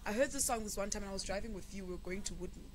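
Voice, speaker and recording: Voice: medium pitch, racing. An upbeat, chatty radio breakfast host talks casually in the studio. Noticeable background noise runs under the voice, typical of a live broadcast feed. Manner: upbeat, chatty, reads listener comments aloud.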